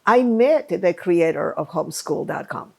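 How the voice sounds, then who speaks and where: Woman guest, low gravelly voice, punchy emphatic way of talking. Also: lively intonation, normal speed, dark tone. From Chile. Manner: emphatic, speaks in short bursts. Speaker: guest, program founder. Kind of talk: conversational interview.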